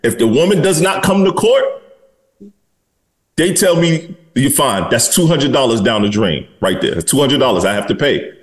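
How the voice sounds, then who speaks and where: A man's voice: medium pitch, animated, moderate pace. A man in his forties hosting a podcast, talking bluntly and candidly in casual conversation and swearing freely. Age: 40s. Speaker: podcast host.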